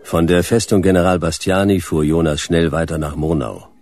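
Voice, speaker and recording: An actor playing a world-weary hard-boiled detective in a radio drama, laconic and deadpan. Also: male voice, low-pitched, normal speed. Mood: weary, dry. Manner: laconic, deadpan, clipped sentences. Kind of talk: German radio drama narration and dialogue.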